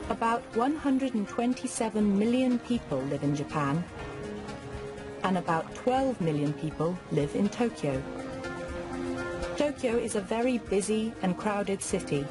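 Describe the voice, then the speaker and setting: Clear woman narrating over music. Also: mid-range voice, animated, unhurried. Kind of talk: scripted educational narration.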